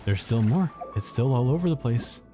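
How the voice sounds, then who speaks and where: A man's voice: medium pitch, lively intonation, moderate pace. A man giving casual live commentary as he plays a game, muttering to himself and repeating short phrases. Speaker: game streamer. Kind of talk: casual live reaction commentary over gameplay. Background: game music.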